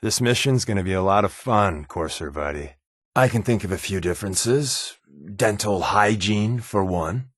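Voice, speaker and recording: A man's voice: lowish voice, normal speed, fairly bright tone, dry recording, studio quality. A man playing a sly, wry undercover resistance agent in a video game, talking in a casual, glib, sardonic way. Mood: joking, sardonic. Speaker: video game companion character. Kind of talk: scripted game dialogue, conversational.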